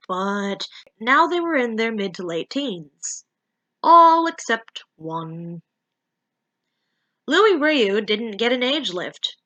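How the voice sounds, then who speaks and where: A woman narrating a story in a theatrical, playful, expressive way. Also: a little high, highs cut off. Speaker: fan fiction reader and narrator.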